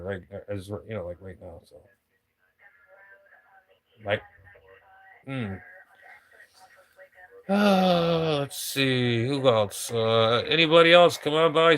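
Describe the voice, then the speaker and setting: A man's voice: medium pitch, fairly bright tone, clear, resonant tone. A middle-aged man chatting casually on a live stream from his home radio room, rambling and complaining and often repeating himself.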